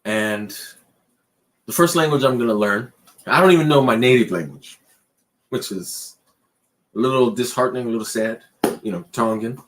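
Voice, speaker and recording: A man co-hosting a casual late-night chat show, talking in a loose, rambling way and pushing his pitch up and down for emphasis. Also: moderate pace, long pauses. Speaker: podcast co-host.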